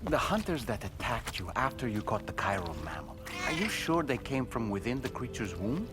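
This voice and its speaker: An actor playing a polite, inquisitive researcher in a game cutscene, asking questions in a courteous, measured way. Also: male voice, mid-range voice, very animated, close to an everyday voice. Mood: inquiring. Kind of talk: video game cutscene acting. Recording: game audio over loud music.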